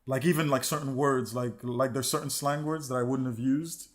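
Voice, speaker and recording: A man's voice: medium pitch, fairly even intonation, normal speed. A man co-hosting a casual podcast chat, rambling and repeating words as he thinks aloud. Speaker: podcast co-host.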